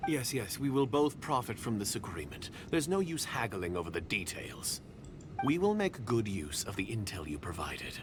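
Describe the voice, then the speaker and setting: An actor playing a secretive, scheming foreign agent in a video game, speaking in a guarded, half-whispered way. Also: male voice, on the low side, big pitch swings, normal speed, music in the background.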